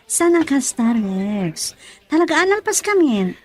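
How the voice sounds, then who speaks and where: A woman's voice: high-pitched, very animated, measured pace. An actress playing an outspoken, easily irked young working woman in an Ilocano radio drama. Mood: brisk, apologetic. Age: young adult.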